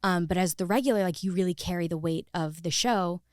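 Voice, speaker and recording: Woman chatting as a podcast guest, lowish voice, says like a lot, dry close sound. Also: fairly quick, a little mellow, dry recording. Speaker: podcast guest, actor turned director. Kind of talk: conversational interview storytelling.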